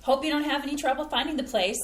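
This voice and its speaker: A woman's voice: high voice, quick pace, lightly acted. A woman reading a chatty, fussy hostess. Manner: chatty and gushing. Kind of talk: staged play reading. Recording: roomy stage sound.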